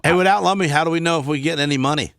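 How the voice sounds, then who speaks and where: A man's voice: a little high, rapid-fire, creaky, a bit bright, no echo. Folksy male radio sidekick, dry sound. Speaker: show sidekick. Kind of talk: casual radio banter. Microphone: close studio microphone.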